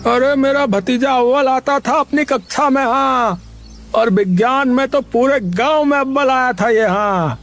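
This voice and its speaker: A man voicing an elderly, talkative village uncle in a cartoon, with a gravelly voice. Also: very high voice, a little slow, a bit bright, faint music behind, exaggerated voice. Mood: explaining. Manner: chatty, proud of his nephew. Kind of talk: cartoon voice acting.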